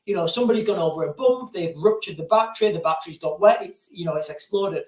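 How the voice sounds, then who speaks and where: Male streamer, high voice, loose digressive chat, set back from the mic in a roomy space. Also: fast talker. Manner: digressive, keeps addressing viewers as guys. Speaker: live-stream host answering viewer questions. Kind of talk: casual rambling live-stream chat. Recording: roomy sound, distant from mic.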